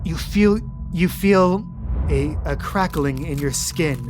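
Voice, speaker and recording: A calm game master walks the players through an eerie dreamscape in steady, descriptive narration. Music runs under the voice throughout. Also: medium pitch, slow pace.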